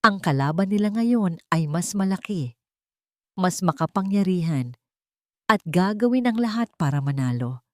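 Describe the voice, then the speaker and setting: Woman narrating drama, slightly low, dry sound. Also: expressive, normal speed, no room sound. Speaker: story narrator. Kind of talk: scripted dramatic romance narration.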